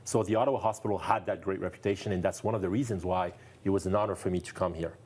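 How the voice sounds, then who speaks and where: A man from France speaks English with a French accent, his voice deep and mellow. He talks as a guest doctor in a TV studio interview, earnest and enthusiastic, often repeating a phrase to stress it. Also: fairly even intonation, fast, husky. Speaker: neurologist guest.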